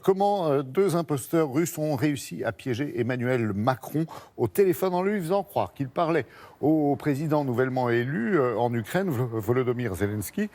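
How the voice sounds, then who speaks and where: A man's voice: a little high, darkish tone. A man with a French accent from France presenting morning news in an informal, conversational and slightly hesitant way.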